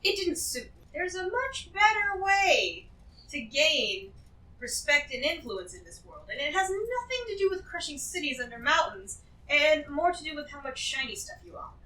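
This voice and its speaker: Woman playing a high-pitched, chatty, greedy treasure-hoarder. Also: big pitch swings, normal speed, bright, forceful tone, full character voice. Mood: reasoning, persuasive. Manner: rambling, hesitant, emphatic. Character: chatty, greedy female treasure-hoarder, shrewd and self-justifying. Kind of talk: improvised tabletop fantasy role-play acting. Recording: echoey room, distant from the mic.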